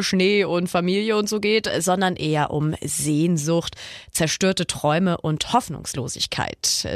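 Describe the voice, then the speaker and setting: A woman's voice: medium pitch, dry recording, studio quality. A woman co-hosting a music show, telling song stories in a conversational, explanatory way from loose notes. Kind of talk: semi-scripted music storytelling.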